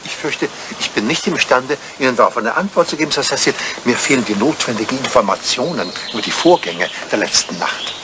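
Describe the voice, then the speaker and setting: An older man acting a devoted, dignified butler in a radio play, speaking with formal deference and quiet sorrow. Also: mid-range voice, expressive, brisk, few pauses, lightly acted. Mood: discreet. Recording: noisy old radio play recording.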